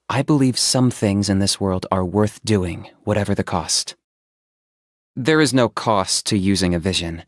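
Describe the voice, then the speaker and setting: Man playing a low-voiced, deadpan young hunter. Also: no room sound, studio quality, natural voice. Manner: calm, matter-of-fact, deadpan, reserved. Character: aloof, pragmatic young male hunter, dryly sardonic. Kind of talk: video game character voice acting.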